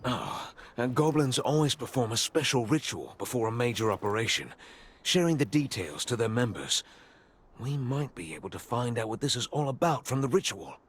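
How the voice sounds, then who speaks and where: An actor playing a grave, courteous commander in a fantasy video game, with a husky voice. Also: male voice, mid-range voice, expressive, normal speed. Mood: instructing. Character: grave, courteous male commander giving a mission.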